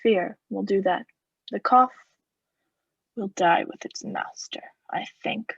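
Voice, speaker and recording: A woman's voice: mid-range voice, slow, vocal fry, slightly rough, dry recording, lo-fi sound, full character voice. A woman acting a cold, vengeful murderer in a radio play, speaking with formal, sardonic politeness as he mocks his victim. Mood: mocking, menacing.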